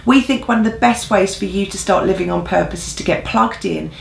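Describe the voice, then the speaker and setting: A woman's voice: mid-range voice, fairly quick, creaky, slight background noise. A woman co-hosting an informal church news update in an earnest, encouraging way. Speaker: church newsreel co-host. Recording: echoey room sound.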